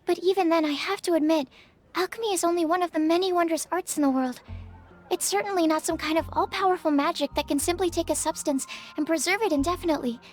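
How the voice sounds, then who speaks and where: A woman's voice: extremely high pitch, fast talker, faint music behind. A woman playing a polite, earnest young alchemist in a video game, explaining things precisely and reasonably. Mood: reasoned. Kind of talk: video game character acting.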